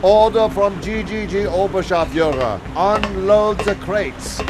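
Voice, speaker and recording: An actor playing a commanding soldier in a video game, barking urgent orders. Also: male voice, sits high, takes it slowly, music in the background. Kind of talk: video game cutscene acting.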